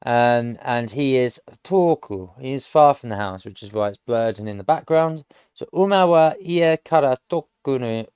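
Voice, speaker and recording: A British man in his late thirties talks himself through a language course, explaining every answer piece by piece and stopping often to think. His voice is smooth and sits mid-range. The recording is dry and slightly dull. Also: medium pitch, animated, normal speed, a little mellow, no echo. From United Kingdom. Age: late 30s. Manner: explains each answer step by step, musing, with frequent hesitations. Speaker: self-taught learner streaming. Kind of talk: thinking aloud while working through lessons.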